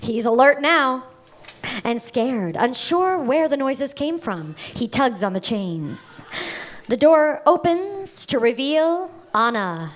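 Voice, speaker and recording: Slow-paced woman narrating a script. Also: sits high, sing-song. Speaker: narrator reading stage directions. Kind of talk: scripted narration at a live table read. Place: live table read in a venue.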